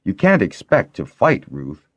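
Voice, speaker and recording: A sharp-tongued girl, acted out by a male narrator, derisive and forthright. The pitch is lifted well above the narration and moves in lively swoops. It is storybook dialogue, recorded dry. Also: a little slow, no echo, highs cut off, full character voice. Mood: scornful. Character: sharp-tongued, scornful girl, blunt and hot-tempered.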